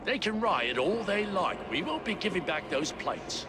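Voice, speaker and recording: A smug street counterfeiter brushing off his partner's worries. His voice is high and lively. Steady street noise sits behind him. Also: moderate pace. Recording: game scene with street noise.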